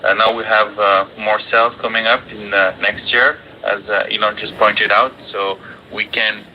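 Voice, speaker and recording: A man giving unscripted executive remarks on an earnings call, pausing often as he goes. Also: lowish voice, level tone. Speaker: company executive. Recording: dull, noisy conference call audio.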